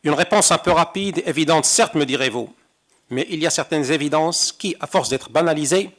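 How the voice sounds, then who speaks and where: A man's voice: fairly high pitch, moderate pace, fairly bright tone. An older man from Morocco, a conference interpreter, reading a prepared talk at a seminar with wry, rhetorical asides. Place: seminar hall.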